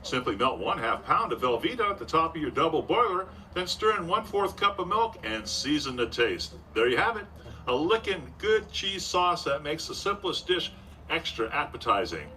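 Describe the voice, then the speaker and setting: An actor playing a polished, upbeat Golden Age radio announcer, delivering scripted narration and sponsor copy. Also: male voice, a little high, big pitch swings, normal speed, sharp tone. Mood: upbeat, selling. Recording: distant stage mic, faint noise.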